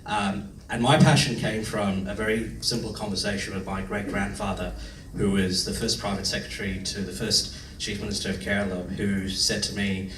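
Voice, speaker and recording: A somewhat low-voiced man speaking earnestly at a panel discussion, measured and full of ums. He is heard from a distance in an echoey room with faint hiss. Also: level tone, a bit bright. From India. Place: large function room.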